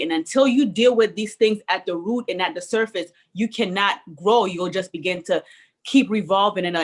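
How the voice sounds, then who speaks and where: A woman's voice: fairly low pitch, fairly bright tone. A woman from Jamaica with a Jamaican accent, a guest in an interview, speaking earnestly and reflectively and laughing easily. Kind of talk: conversational interview.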